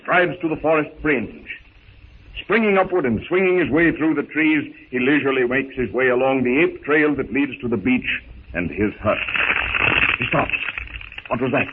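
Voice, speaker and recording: A man's voice: medium pitch, animated, moderate pace. Theatrical male narrator on tinny old radio. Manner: theatrical, declamatory. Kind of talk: dramatic 1930s radio narration. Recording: scratchy, tinny 1930s radio recording.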